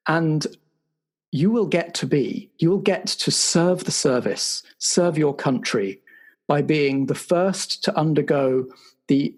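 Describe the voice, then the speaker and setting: Man playing a halting, husky spy-agency bureaucrat. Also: mid-range voice, a little mellow. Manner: halting, stammering, officious. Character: awkward, apologetic male spy agency bureaucrat giving a debrief.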